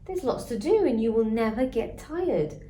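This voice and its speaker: A kindly grandmother, as a woman reader plays her in a storybook, gently reassuring and mellow. The voice sits in an echoing room. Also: fairly high pitch, expressive, normal speed, pitched above the performer's own voice, close to an everyday voice. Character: kindly, reassuring grandmother telling twins about paradise. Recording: roomy echo, faint background noise.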